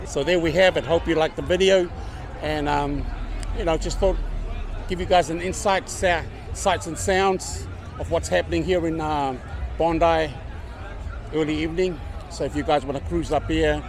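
A man's voice: a little high, steady tone, moderate pace. A middle-aged man casually vlogging while walking a busy evening street, talking to a handheld camera. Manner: laid-back, chatty, upbeat street talk. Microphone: handheld camera at arm's length. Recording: street crowd and traffic noise.

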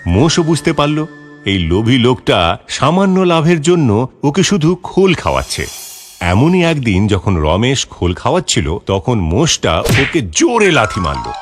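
A man's voice: mid-range voice, very animated, measured pace. A man narrating a scripted moral story for children. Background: background music.

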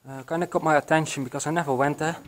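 A young man with a Belgian accent narrating his exploration of an abandoned building to his vlog audience, excited and hushed, with many fillers, recorded on an action camera. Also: on the high side, racing, slight background noise. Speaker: urban explorer vlogger. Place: abandoned building, echoey halls and attic. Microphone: action camera, handheld or body-worn.

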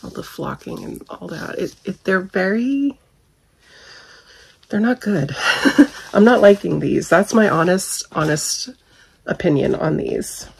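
Husky, gravelly woman losing her voice. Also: fairly low pitch, animated, slow pace. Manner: chatty, candid, frequent ums and little laughs. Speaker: haul vlogger. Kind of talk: casual product review chat. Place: bedroom at home.

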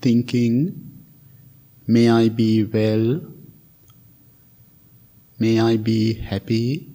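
Guy doing guided meditation, really slow n mellow, gentle soothing short phrases. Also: on the low side, monotone. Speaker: meditation teacher, Buddhist monk.

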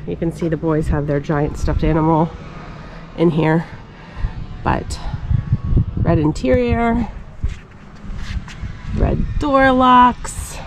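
A woman's voice: sits low, slow, pauses often. A woman vlogging casually and upbeat as she films outdoors on a handheld camera. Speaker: family travel vlogger. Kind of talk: casual narration while filming. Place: outdoors in open air. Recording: outdoor noise, car engine sounds.

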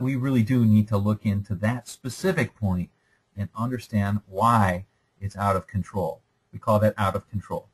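A man's voice: fairly low pitch, breathy edge, poor audio. A man lecturing over slides in a conversational, explanatory way, often checking in with "okay?".

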